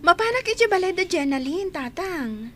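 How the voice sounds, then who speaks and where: A woman's voice: very high voice, sing-song, moderate pace. A woman playing an earnest young woman looking for work in a scripted audio drama. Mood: reassuring. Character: earnest young woman seeking work, reassuring her father. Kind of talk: scripted radio-style drama in Ilocano.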